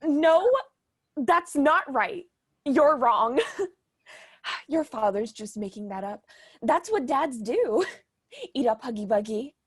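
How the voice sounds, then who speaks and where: A woman's voice: high voice, sing-song, slow pace, pauses often, slightly rough, no echo, clean studio sound, full character voice. A woman playing a doting but overbearing mother in a stage comedy, gushing and reciting with pompous theatrical grandeur. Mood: bossy sweetness.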